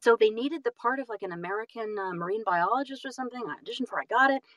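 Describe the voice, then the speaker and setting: A woman's voice: a little high, rapid-fire, a bit bright. A woman telling stories casually as an interview guest, self-deprecating and emphatic in manner. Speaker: guest, audiobook narrator and actress.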